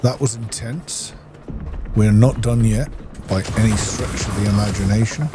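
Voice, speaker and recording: A man's voice: on the low side, normal speed, over music. A man giving casual video game commentary, thinking aloud in short remarks. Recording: clearly noisy, game sound behind voice. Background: video game ambience.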